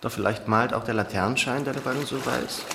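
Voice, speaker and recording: An actor playing a sly, warty talking fish in a radio drama, mockingly polite and theatrical. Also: male voice, mid-range voice, brisk, creaky, close to an everyday voice. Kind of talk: German radio drama acting. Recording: echoing hall, long reverb, watery noise.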